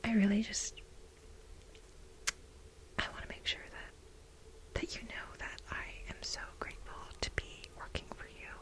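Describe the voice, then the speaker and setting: A woman playing an infatuated, jealous young research assistant to her boss in an ASMR role play, breathy, halting and gushing. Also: a bit bright, natural voice. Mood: nervous, grateful. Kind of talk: soft-spoken whispered ASMR role play.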